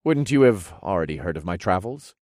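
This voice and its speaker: A man as a cheeky, animated young-spirited fantasy hero. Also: deep voice, fairly quick, dry recording, studio quality. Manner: casual, modern, cheeky phrasing. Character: brash, casual summoned male hero of fantasy tale.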